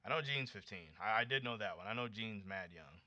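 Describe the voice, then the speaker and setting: An American man in his late twenties hosting a casual livestream, chatting with viewers in a slangy, animated, conversational way. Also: fairly low pitch, brisk, no echo. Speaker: livestream host. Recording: dull lo-fi streaming audio.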